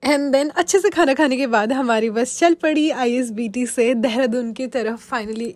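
Relaxed woman narrating voice-over. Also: a little high, no echo. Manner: relaxed, reflective storytelling. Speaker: travel vlogger.

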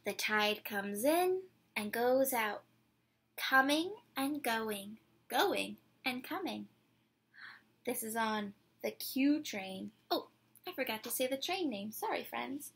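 Bright-voiced young woman reading aloud to children. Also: a little high, expressive, husky. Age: 20s. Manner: theatrical, drawn-out words, engages young listeners with questions. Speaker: storyteller reading a picture book aloud to children. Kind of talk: expressive children's read-aloud. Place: small decorated room indoors.

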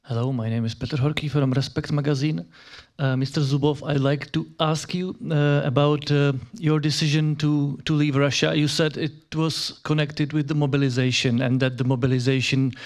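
A man's voice: fairly high pitch, level tone, normal speed, hardly any pauses. A man, a magazine journalist carefully asking a question at a press conference. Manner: careful, rephrasing his question.

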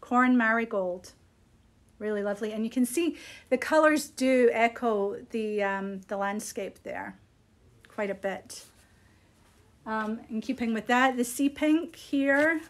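Woman, middle-aged, fairly high pitch, casual shop host, chatty and unscripted. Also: measured pace. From Scotland. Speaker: yarn shop host presenting products. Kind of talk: casual live product showcase. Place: small indoor room. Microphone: phone at arm's length.

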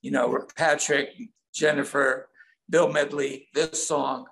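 A man's voice: a little high, little pitch movement, takes it slowly, vocal fry, a bit bright, poor audio. A man, chatting as an interview guest over a video call. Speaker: songwriter guest. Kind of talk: conversational interview. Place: room at home.

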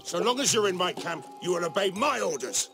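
Pissed off merc captain, high voice that swings around, barks orders and swears, game. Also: male voice, expressive, a little fast, music in the background. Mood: furious. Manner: barking, foul-mouthed, forceful. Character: angry, commanding male mercenary captain. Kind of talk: dramatic video game acting.

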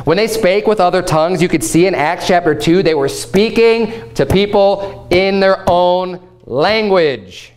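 Animated, fairly high-voiced man preaching. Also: a little slow, few pauses. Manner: animated, gesturing, conversational preaching. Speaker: pastor. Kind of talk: sermon. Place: church platform before a painted backdrop.

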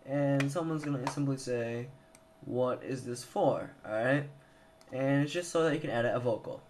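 Young male, informal tutorial presenter, hesitant, medium pitch, slightly noisy handheld camera sound. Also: normal speed, a little mellow. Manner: informal, hesitant, thinking aloud. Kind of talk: casual screen tutorial. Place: indoors at a computer. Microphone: handheld camera mic.

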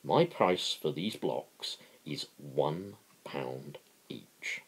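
A man voicing a working man who patiently lectures as a pretend capitalist in a novel, emphatic and explanatory, with a gravelly voice. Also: rumbling low, expressive, extremely slow, full character voice.

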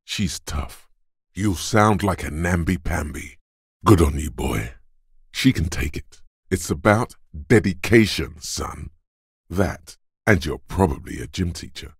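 An actor voicing a gruff, macho inner voice of physical strength for a video game, like a drill coach, blunt, taunting and commanding, with a gravelly, husky tone. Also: male voice, low-pitched, animated, slow pace, no echo, clean studio sound, exaggerated voice. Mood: gruff, steady.

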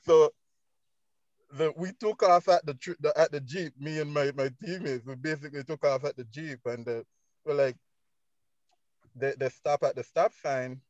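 A young man with a Jamaican accent telling things casually and haltingly, repeating phrases, on a dull phone video call. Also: a little high, fairly even intonation, a little mellow, no echo. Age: young adult. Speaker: panelist, business owner. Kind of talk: casual anecdotal talk.

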